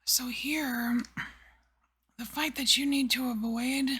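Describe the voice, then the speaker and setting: A woman giving a whispered tarot reading, rambling softly with many fillers. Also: high voice, steady tone, takes it slowly. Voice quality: breathy. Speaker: tarot reader.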